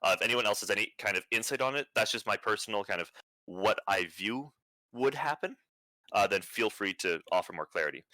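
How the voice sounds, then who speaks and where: A young man presenting at an online conference, talking in a flat, near-monotone way with many fillers and restarts. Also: on the low side, brisk, no echo.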